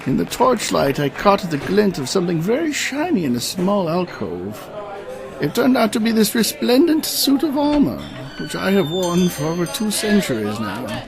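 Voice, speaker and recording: A man playing a high-voiced ancient knight. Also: very animated, normal speed, creaky, music in the background, full character voice. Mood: reminiscing. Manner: formal, old-fashioned storytelling. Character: ancient, courteous, long-winded knight weary of adventuring. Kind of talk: amateur fantasy audio drama acting.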